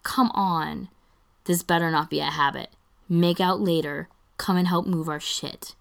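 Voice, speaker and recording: A woman's voice: fairly low pitch, steady tone, a little slow, creaky. A woman voicing an exasperated young man in a band, frustrated and bossy, in a story reading. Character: exasperated young male bandmate.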